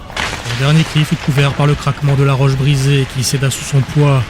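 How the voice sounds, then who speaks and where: A man's voice: mid-range voice, little pitch movement, normal speed. A man reading dramatic scripted narration as an audiobook narrator. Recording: music behind the voice.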